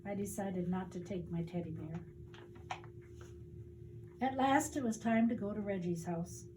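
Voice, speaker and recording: An older woman, a grandmother, reading a children's storybook aloud in a deliberate, animated storytelling way. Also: mid-range voice, background noise.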